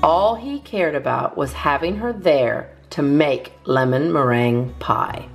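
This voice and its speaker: A woman narrating a children's storybook as a storytime host, in an expressive read-aloud style, over music. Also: low-pitched, measured pace. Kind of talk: animated children's storybook reading. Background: soft plucked guitar music.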